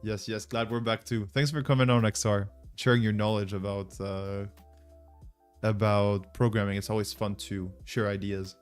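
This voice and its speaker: Low-voiced Frenchman chatting over music. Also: flat delivery, moderate pace, clear, resonant tone. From France. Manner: informal, rambling, thinking aloud. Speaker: livestream host. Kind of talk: casual livestream chat with viewers.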